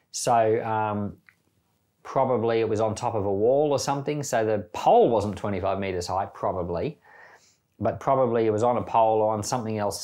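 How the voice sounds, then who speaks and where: A middle-aged man who reads a Bible passage aloud, then talks it through in a relaxed way, thinking aloud with small fillers. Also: fairly low pitch. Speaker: church Bible reader. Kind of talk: scripture reading and informal commentary.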